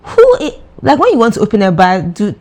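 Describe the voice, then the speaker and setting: A young woman with a Ghanaian English accent trades wry, teasing quips as a podcast co-host. She states her opinions bluntly, in a relaxed mid-pitched voice. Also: a little fast. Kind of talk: casual chat.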